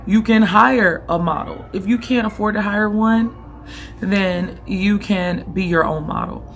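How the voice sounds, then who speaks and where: A young woman with a hoarse voice she is losing, giving casual vlog tips on running an online boutique in a direct, coaching way that keeps checking in with the listener. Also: medium pitch, measured pace, slight background noise.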